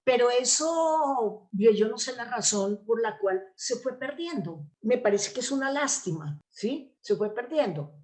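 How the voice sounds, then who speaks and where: A woman's voice: on the high side, animated, unhurried, hardly any pauses, a little mellow. Colombian woman explaining in an interview. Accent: Colombian Spanish. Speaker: interview guest, theatre actress and playwright. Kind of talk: conversational interview answers.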